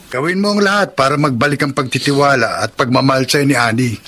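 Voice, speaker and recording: A man playing a calm, loyal young friend in a Filipino radio drama, inquisitive and reassuring. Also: mid-range voice, quick pace. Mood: calm, concerned. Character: young male confidant Kenny, calm, loyal advising friend. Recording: faint background noise.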